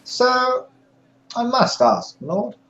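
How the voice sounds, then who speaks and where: An actor playing a polite, wary young knight-magus, formal and deferential, in a fantasy audio drama. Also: male voice, very high voice, sing-song, very slow, fairly bright tone, full character voice. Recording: slightly dull, muffled sound.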